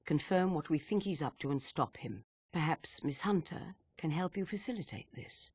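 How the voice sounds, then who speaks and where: A woman playing a stern, authoritative spymaster in a video game, speaking briskly and formally. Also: deep voice, animated, husky, no room sound, clean studio sound. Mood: grave. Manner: brisk, formal, matter-of-fact. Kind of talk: video game cutscene acting.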